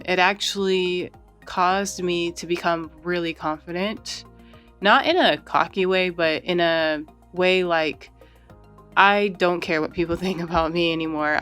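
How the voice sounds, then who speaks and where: A woman chatting casually while she draws, relaxed and rambling, often saying "like" and "you know". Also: fairly low pitch. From United States. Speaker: art channel host. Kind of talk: casual chat while drawing.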